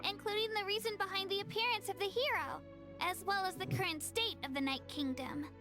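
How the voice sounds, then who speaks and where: A woman voicing a tiny, eager, childlike female fairy companion in an anime video game, with a squeaky, perky delivery. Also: brisk. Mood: explaining. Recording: music playing behind.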